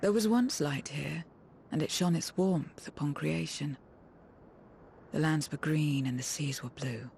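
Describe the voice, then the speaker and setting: A woman's voice: low-pitched, unhurried, gravelly, a little mellow, slight background noise. A woman voicing an aloof, world-weary female void creature in a video game cutscene, speaking in solemn, terse phrases. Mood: mournful. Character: aloof, world-weary female voidsent returned to true form. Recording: music behind.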